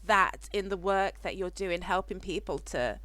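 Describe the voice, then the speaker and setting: A woman's voice: on the low side, normal speed, a bit bright. A woman from Africa hosting a podcast interview, speaking in a reflective, searching way that trails off mid-thought. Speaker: podcast host.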